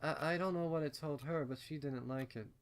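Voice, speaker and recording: A man's voice: on the high side, fairly quick, natural voice. A man voicing a nervous, rambling young female pony with a hesitant, stammering, earnest manner in a fan fiction story. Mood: uneasy, rambling. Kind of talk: acted fan fiction reading.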